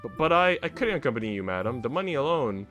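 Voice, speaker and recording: A man's voice: mid-range voice, big pitch swings, quick pace, fairly bright tone, soft background music, voice pushed up. A man voicing an earnest, well-mannered young woman who narrates a visual novel in a formal, reflective way. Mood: flustered.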